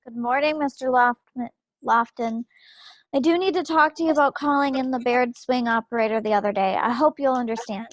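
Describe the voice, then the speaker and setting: A woman performs a proud young 1940s depot operator with a high, closely recorded voice. She argues her case in formal, firm sentences, as in a stage play read over a video call. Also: a little fast, no room sound, close to an everyday voice, low-quality recording. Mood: polite, businesslike. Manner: formal, firm, articulate self-defence. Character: proud, indignant young wartime wife, railroad depot telegraph operator. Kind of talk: one-act play table read.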